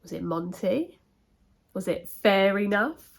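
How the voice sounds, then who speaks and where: Encouraging English woman host, mid-pitched voice. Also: expressive, takes it slowly, gravelly. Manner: encouraging, appreciative. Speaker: host introducing a reading. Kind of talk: friendly address to viewers.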